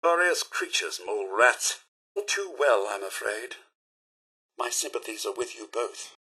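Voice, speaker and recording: An actor playing a fussy, proper robot butler in a video game, with a British accent, a raspy voice and a formal, prim, theatrical delivery. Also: male voice, mid-range voice, animated, unhurried, bright, forceful tone, exaggerated voice. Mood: courteous, measured. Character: fussy, proper male robot butler, polite, easily indignant. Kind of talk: video game character voice lines.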